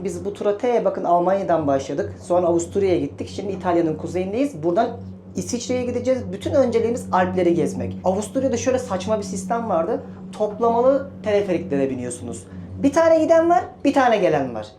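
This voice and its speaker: A man's voice: sits high, expressive, quick pace, noisy background. A man hosting a casual travel vlog, talking to viewers in a chatty, informal, joking way. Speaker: travel vlogger.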